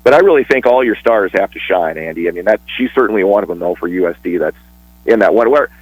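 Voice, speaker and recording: A man talking casually on a radio sports show, chatty and a bit rambling, with restarts and quick laughs. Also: low voice, lively intonation, slightly rough, low-quality recording. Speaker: sports broadcaster guest.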